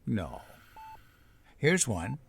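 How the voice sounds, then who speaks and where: An actor in an audio drama playing a nostalgic old grandfather, gently and wistfully telling his memories to his granddaughter. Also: fairly low pitch, huge pitch swings, extremely slow, vocal fry, full character voice. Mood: patient, explaining.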